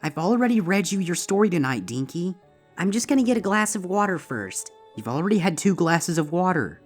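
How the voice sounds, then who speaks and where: A woman voicing a gentle, worried and tired mother pony who coaxes softly in a dramatized story reading. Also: on the low side, very animated, a little fast. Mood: weary, patient. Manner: soft, soothing, coaxing. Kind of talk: dramatized fan fiction reading. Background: background music.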